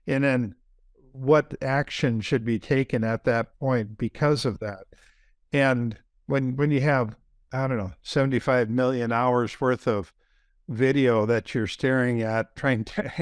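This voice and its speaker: A man's voice: medium pitch, no room sound, clean studio sound. A man co-hosting a podcast, talking casually and rambling with many fillers.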